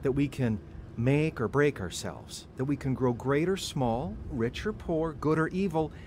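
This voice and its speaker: Man acting earnest younger brother, lively pitch. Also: unhurried, a little hiss or hum, natural voice. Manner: gentle questioning, sincere.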